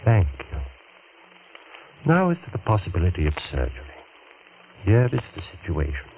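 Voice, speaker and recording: An actor playing a smooth, vindictive middle-aged doctor in an old radio thriller, speaking in a measured, insinuating, menacing way. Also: male voice, low-pitched, sing-song. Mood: controlled, professional. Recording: tinny, noisy vintage radio recording.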